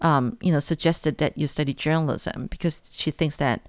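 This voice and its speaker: A woman hosting a radio interview in a relaxed, chatty way. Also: sits low, normal speed, dry recording, clean studio sound. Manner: relaxed, chatty, laughs often. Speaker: radio show host. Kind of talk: casual interview.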